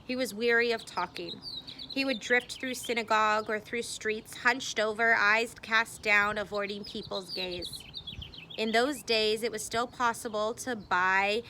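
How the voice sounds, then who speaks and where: A woman reading a memoir aloud expressively, with deliberate phrasing and dramatic emphasis. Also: fairly high pitch, steady tone, bright, forceful tone, a little hiss or hum. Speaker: reader.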